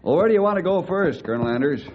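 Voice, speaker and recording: An actor playing a steady, capable forest ranger in an old-time radio drama. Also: male voice, on the high side, rapid-fire, a little hiss or hum, lightly acted. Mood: brisk, courteous. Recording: muffled old radio recording.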